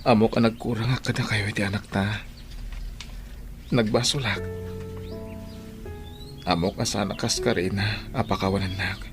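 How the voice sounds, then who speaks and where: An actor playing a remorseful young father in an Ilocano radio drama, speaking earnestly and haltingly with emotion. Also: lowish voice, steady tone, creaky. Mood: remorseful, pleading. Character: remorseful young father pleading for a second chance. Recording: music bed behind the voice.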